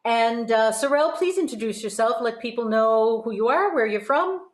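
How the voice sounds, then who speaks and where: Lady voice teacher, encouraging, explains stuff, kinda high voice, roomy echo. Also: animated, normal speed. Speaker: host and voice teacher. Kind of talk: conversational vocal coaching. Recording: roomy, echoing sound.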